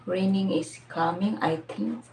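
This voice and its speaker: A woman's voice: fairly low pitch, flat delivery, unhurried, darkish tone, a little hiss or hum, rough recording. A Filipina woman with a Filipino accent hosting a casual live stream, chatting with viewers, greeting them by name and laughing often. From Philippines.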